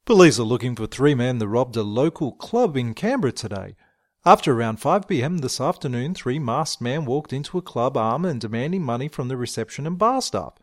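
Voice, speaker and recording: An Australian radio newsreader, acted by a man inside an audio story. He delivers the bulletin in a formal, measured way and keeps it matter-of-fact. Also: mid-range voice, very animated, voice pushed down.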